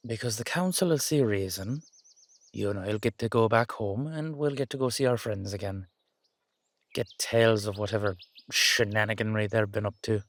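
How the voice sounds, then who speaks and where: A man's voice: on the low side, normal speed, natural voice. A man playing a playful, affectionate young-looking Dullahan boyfriend with an Irish accent, rambling and teasing in a roleplay audio. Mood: reassuring.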